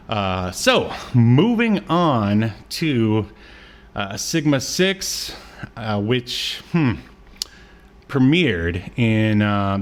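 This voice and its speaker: A man hosting a podcast, chatting casually about old media with a joking, teasing manner. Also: fairly low pitch. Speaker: podcast host.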